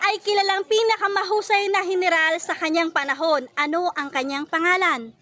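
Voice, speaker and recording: Filipino lady quiz host w really high voice reading trivia questions, people noise in background. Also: fairly even intonation, brisk, a crowd in the background.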